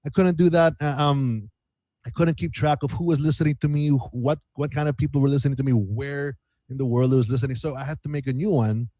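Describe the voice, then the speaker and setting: A man chatting casually as a singer-songwriter guest in an interview, animated and rambling, repeating phrases for emphasis. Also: mid-range voice, fast talker, no echo. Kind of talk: casual radio interview chat.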